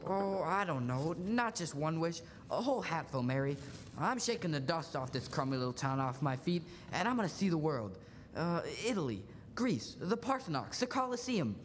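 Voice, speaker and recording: A man's voice: big pitch swings, normal speed. A young man playing an earnest, restless small-town dreamer in a radio play, talkative and stammering. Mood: eager, playful. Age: young adult. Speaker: student actor. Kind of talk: live radio play in 1940s manner. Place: large school auditorium stage. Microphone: stage stand microphone. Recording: echoey auditorium, faint hiss, rumble.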